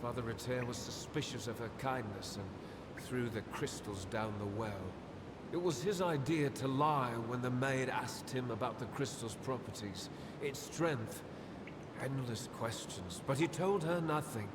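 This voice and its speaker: A man acting a fervent, obsessed young acolyte in a video game, speaking with dreamy, halting reverence. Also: lightly acted. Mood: haunted reverence. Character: obsessed young acolyte, crystal-mad killer, fervent and haunted. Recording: long cavernous reverb, loud rumbling noise.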